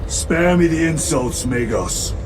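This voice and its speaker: An actor playing a stern veteran space marine lieutenant in a video game, giving terse, grave military orders as squad leader. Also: male voice, fairly high pitch, expressive, takes it slowly, loud music behind the voice. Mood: curt, annoyed.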